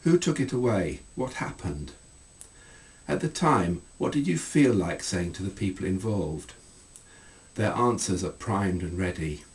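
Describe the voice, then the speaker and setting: A middle-aged man reading aloud from his own book as an author, in measured, deliberate phrases. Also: low-pitched, animated, far from the mic. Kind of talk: scripted literary reading.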